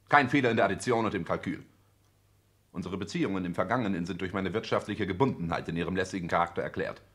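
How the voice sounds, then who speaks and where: An actor playing a cold, ambitious social climber in a 1960s German radio play, speaking in a clipped, pompous, formal and declamatory way. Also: male voice, sits low, fairly bright tone. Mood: cold, calculating.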